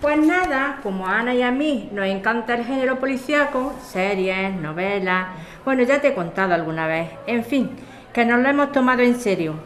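A woman's voice: on the high side, lively intonation, normal speed, lightly acted. A woman acting an eager amateur sleuth in a radio soap. She speaks with an accent from Spain, friendly but insistent and concerned, in natural everyday dialogue. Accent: Spanish.

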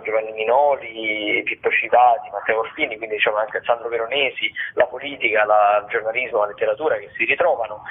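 A man's voice: mid-range voice, husky. A man giving a radio round-up of events as a regular contributor, chatty and rambling, correcting himself as he goes, over the phone. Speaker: theatre critic, radio show contributor. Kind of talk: spoken weekly listings round-up. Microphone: telephone. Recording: muffled, band-limited telephone call.

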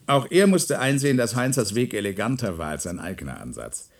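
A didactic, self-assured project scientist. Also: male voice, medium pitch, sing-song, fairly quick. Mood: self-assured, explanatory. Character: self-assured male scientist, explanatory, slightly evasive project leader. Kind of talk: German science-fiction radio play acting.

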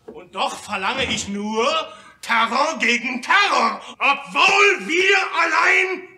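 A fiery male orator in a radio drama about revolution. His voice is piercingly bright and he declaims with great emphasis, each phrase slowly weighted, in a strongly echoing hall. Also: very high-pitched, lively intonation, far from the mic, heavily acted. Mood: vehement, outraged. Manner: declamatory, emphatic, shouting outbursts. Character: fiery Commune delegate, impassioned revolutionary orator. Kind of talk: German radio play, stage declamation.

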